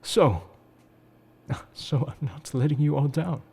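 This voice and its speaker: A man playing a suave, enigmatic engineer-agent in an intimate roleplay audio, breathy and halting as he confides old wounds with tender devotion. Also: fairly high pitch, dark tone, heavily acted. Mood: earnest, protective. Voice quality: breathy, husky, slipping into whispers. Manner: measured, confessional, halting. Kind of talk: intimate scripted roleplay audio addressed to the listener.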